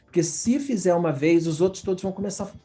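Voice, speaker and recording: A man's voice: high voice, fast talker, soft background music. A Brazilian man, a design professor, telling candid stories in a casual, slangy conversation with a Brazilian Portuguese accent. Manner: animated, candid, slangy storytelling. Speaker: guest, design professor abroad.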